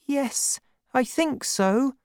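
Woman voicing a timid, very high-pitched little boy. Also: takes it slowly, creaky, a little mellow, dry recording, clean studio sound, heavily acted. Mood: nervous.

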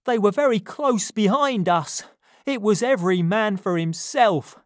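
A woman voicing a rough male thief who tells grim news bluntly. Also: mid-range voice, unhurried, no room sound, studio quality, voice pushed up, natural voice.

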